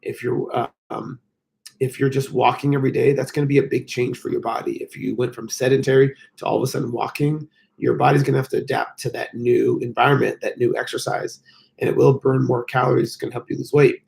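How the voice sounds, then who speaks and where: Hoarse gravelly man on a live stream. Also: mid-range voice, flat delivery, fast, darkish tone. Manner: rambling, frequent fillers. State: hoarse, losing his voice. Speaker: fitness coach. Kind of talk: casual live-stream talk.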